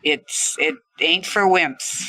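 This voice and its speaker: A woman's voice: sits low, slow, sharp tone, no echo. A woman talking reflectively as a podcast guest, earnest and appreciative. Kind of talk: reflective interview talk.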